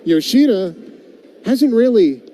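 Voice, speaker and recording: An American man calling baseball play-by-play on the radio, mixing live pitch calls with casual banter. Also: animated, slow pace, dark tone, a little hiss or hum.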